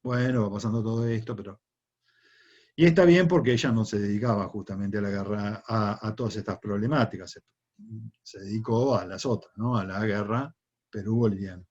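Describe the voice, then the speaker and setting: Argentine teacher lecturing with rhetorical questions. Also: male voice, on the low side, brisk, darkish tone, slightly rough, highs cut off. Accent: Argentine Spanish. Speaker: history teacher. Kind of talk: online class lecture.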